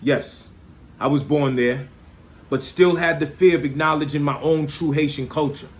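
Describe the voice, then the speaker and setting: A man's voice: fairly high pitch, steady tone, normal speed. A man from the United States performing spoken word poetry with a rhythmic, rhyming delivery and emphatic peaks, with short spoken asides between pieces.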